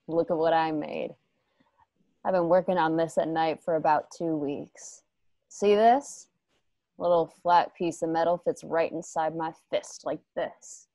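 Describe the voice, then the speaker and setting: Woman playing low, creaky, hardened avenger. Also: steady tone, unhurried, mellow, no echo. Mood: proud. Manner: blunt, foul-mouthed. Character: hardened, vengeful young woman with injured arm. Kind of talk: remote radio play acting.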